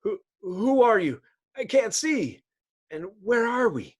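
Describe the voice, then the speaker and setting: A man voicing an excitable male comic-book hero in a breathless, exclamatory, melodramatic reading. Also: high-pitched, takes it slowly, voice pushed up, full character voice. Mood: dazed, alarmed. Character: excitable, heroic male scientist and young sidekick.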